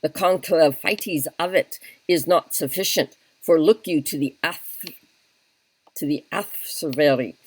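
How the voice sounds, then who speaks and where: A man's voice: a little high, a little slow, no echo, poor audio. A man doing a fussy captain. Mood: lecturing. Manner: fussy, wordy, repeats "look you". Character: pedantic talkative male Welsh captain. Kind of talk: solo dramatic reading of a stage play.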